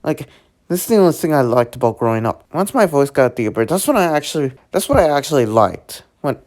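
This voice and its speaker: A man's voice: on the high side, very animated, quick pace, a little mellow. Rambling young man full of "like"s. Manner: rambling, heavy use of "like" fillers and restarts. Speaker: trailer reaction vlogger. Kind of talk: casual trailer commentary.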